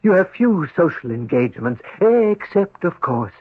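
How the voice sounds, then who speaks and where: Slow, husky supernatural servant. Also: male voice, a little high, big pitch swings, heavily acted. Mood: calm, ominous. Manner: formal, measured, deferential. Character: supernatural giant servant granting a wish, suave and formal. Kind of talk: 1970s radio drama acting. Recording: roomy, muffled 1970s radio broadcast.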